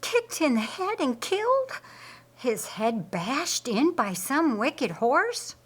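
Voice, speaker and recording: A woman narrator voicing an excitable, easily flustered woman in a Bible story, with dramatic exclamations. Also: sits high, slow, pitched above the performer's own voice, heavily acted. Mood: horrified, urgent.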